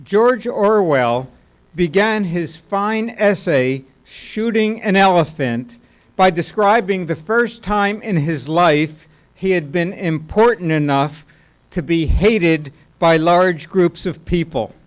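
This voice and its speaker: A man giving a formal introduction for a guest speaker in a slow, deliberate way. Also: high-pitched.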